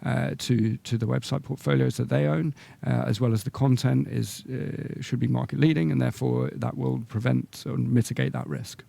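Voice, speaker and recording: A man speaking as a company executive, answering questions on a business call in measured corporate language, with hesitant repetitions. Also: mid-range voice, fairly even intonation, vocal fry, warm tone, no room sound.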